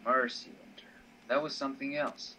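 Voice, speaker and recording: A man's voice: medium pitch, little pitch movement, moderate pace, pushed and bright, lightly acted. A man voicing a nonchalant, teasing male drinking companion in an amateur visual novel reading, with casual, goading replies. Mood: reassuring. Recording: dull, slightly noisy home recording.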